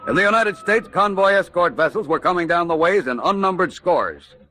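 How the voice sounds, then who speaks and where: A man's voice: high voice, animated, fairly quick, sharp tone. A man delivering emphatic, urgent scripted narration in a dramatic 1940s radio style.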